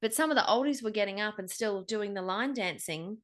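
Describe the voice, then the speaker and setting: A woman's voice: mid-range voice, fairly quick, a crowd in the background. A woman in her forties with an Australian accent, co-hosting a podcast in casual, jokey, slangy chat. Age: 40s. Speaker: podcast co-host.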